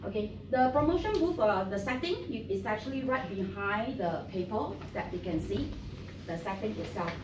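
Brief: woman trainer, friendly and halting, heard from across a noisy room. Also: mid-range voice, lively intonation. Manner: friendly, halting with many fillers. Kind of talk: classroom training talk. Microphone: far camera. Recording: roomy, distant, noisy.

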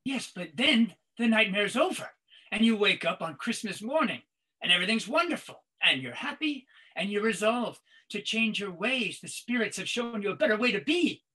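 A man playing an upbeat, encouraging companion, brisk and reassuring in a theatrical conversation. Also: sits high, expressive, pushed and bright, breathy edge, heavily acted.

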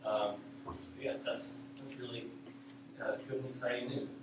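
A young man giving an informal academic presentation in a halting way, with frequent ums, speaking in a lecture hall. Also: mid-range voice, fairly even intonation. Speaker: presenter of a research news roundup. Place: university lecture hall, indoors. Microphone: far camera microphone. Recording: echoey room, distant mic, clear background noise.

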